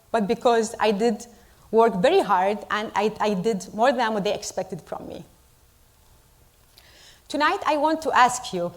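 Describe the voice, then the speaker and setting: Earnest Iraqi-born woman giving a speech. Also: medium pitch. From Iraq. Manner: earnest, deliberate, with pauses for emphasis. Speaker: storyteller at a community event. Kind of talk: speech to a live audience.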